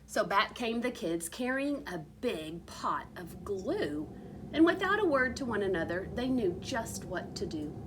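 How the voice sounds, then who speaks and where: A woman reading a picture book aloud expressively, with theatrical, drawn-out emphasis on repeated words. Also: medium pitch, very animated, noisy background. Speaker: reader of a children's storybook.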